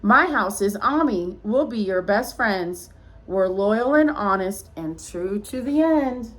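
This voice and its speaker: A woman's voice: medium pitch, lively intonation, takes it slowly. A woman reading the part of a friendly, loyal schoolgirl in a rhyming storybook, speaking earnestly.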